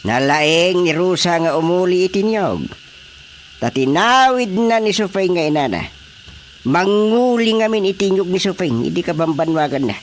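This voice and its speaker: A man playing a bossy, sly older village father in an Ilocano radio comedy, with emphatic, drawn-out delivery. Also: sits high, lively intonation, slight background noise. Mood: bossy, challenging. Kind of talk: Filipino radio drama comedy acting.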